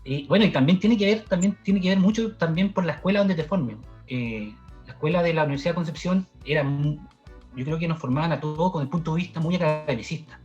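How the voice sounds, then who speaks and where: Halting Chilean man, muffled audio. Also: fairly high pitch, a little mellow, faint music behind. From southern Chile. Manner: halting, many restarts and fillers. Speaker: sociologist guest. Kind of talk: conversational interview answer.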